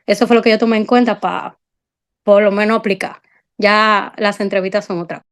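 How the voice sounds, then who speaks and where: A woman's voice: mid-range voice, steady tone, moderate pace, clear, resonant tone. A young woman with a Dominican accent, an incoming medical resident, explaining step by step in a casual panel chat. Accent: Dominican Spanish. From Dominican Republic. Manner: explanatory, step-by-step, many fillers.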